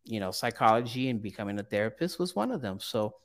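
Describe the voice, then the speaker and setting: A young man from Brazil, a counseling student, chatting as a guest in a casual interview, animated and laughing often. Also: on the low side, fast.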